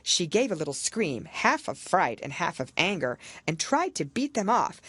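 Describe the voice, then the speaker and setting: Expressive woman reading a storybook. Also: fairly low pitch, husky, dry recording. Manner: expressive, measured literary reading. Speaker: audiobook narrator. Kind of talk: scripted storybook narration.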